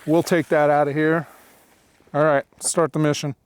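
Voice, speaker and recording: A man's voice: a little high, little pitch movement, normal speed, smooth. A middle-aged man giving casual running commentary as he hosts a gear demonstration outdoors. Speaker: drone pilot and video host. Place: outdoors in open dry hills.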